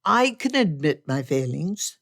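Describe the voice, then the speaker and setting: Casting: woman narrator as proud young gentleman suitor, lowish register, courtly and measured, teasing yet earnest, period romance audiobook, close dry sound. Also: very animated, gravelly, dry recording, full character voice. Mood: low, tender. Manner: formal, measured, courtly phrasing.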